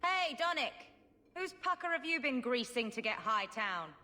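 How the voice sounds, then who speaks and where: A wry, breezy adventurer. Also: female voice, high-pitched, sing-song, bright, forceful tone, heavily acted. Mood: needling. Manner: wry, brisk. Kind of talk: video game character acting.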